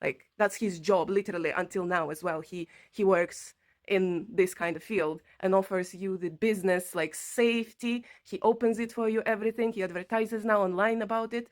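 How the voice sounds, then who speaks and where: Woman, phrasing, earnest conversational vlog delivery, medium pitch. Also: steady tone, moderate pace. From Latvia. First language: not English. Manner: earnest, non-native phrasing. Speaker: vlogger telling own story. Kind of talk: personal account to camera, conversational.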